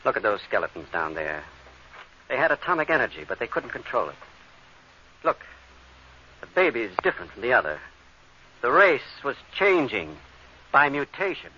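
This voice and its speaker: Intense space crewman working things out, animated forceful voice, dull old radio drama recording. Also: mid-range voice, normal speed, long pauses. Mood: somber revelation. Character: urgent, explaining spaceship crewman who pieces together mysteries. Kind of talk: 1950s radio drama acting. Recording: dull, slightly noisy vintage radio recording.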